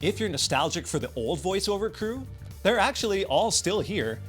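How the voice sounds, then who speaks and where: A man's voice: high-pitched, sing-song, normal speed, music in the background. A man narrating a scripted video essay as a channel host, enthusiastic and given to conversational asides.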